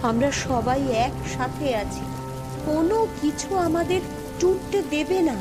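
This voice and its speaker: A woman's voice: high voice, expressive, unhurried, music in the background. A woman playing a devoted, brave mother in a cartoon story, speaking in an earnest, soothing manner. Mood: comforting. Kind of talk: Bengali cartoon voice acting.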